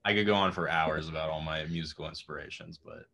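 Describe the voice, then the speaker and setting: A young man in his twenties with a raspy voice, chatting casually as a guest musician on a video call, rambling with lots of fillers. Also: very deep voice, steady tone, fast talker, bright, forceful tone. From Austin, Texas. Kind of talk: casual interview chat. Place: home room with music gear. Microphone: video call webcam.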